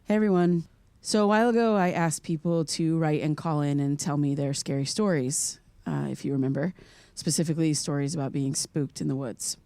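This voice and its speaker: An actress playing an earnest, curious young American podcaster in an audio drama, speaking in a conversational, slightly hesitant way. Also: female voice, deep voice, steady tone, a little fast, mellow. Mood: casual, candid. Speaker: podcast host character.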